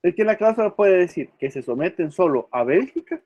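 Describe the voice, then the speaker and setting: A man's voice: high-pitched, expressive, a little fast, darkish tone, no echo. Male law lecturer, repeats key terms, muffled lo-fi recording. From El Salvador. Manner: quizzes students by name, repeats key words. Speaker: law professor. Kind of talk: online class lecture.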